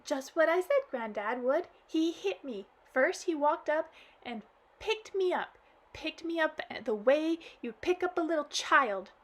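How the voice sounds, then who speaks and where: A woman reading a storybook aloud and voicing a dramatic, tearful little girl who sobs out her complaints. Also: measured pace. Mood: sobbing. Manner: high, sobbing, wailing delivery.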